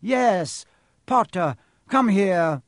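A man voicing a tense, impatient villain who serves a dark master in a storybook, sharp and commanding. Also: a little high, sing-song, takes it slowly, dry recording, studio quality, heavily acted.

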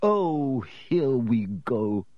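A man voicing a proud, courteous, touchy old gentleman ghost in a storybook, with a genteel manner. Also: on the high side, extremely slow, no room sound, lo-fi sound, pitched above the performer's own voice. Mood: bitter.

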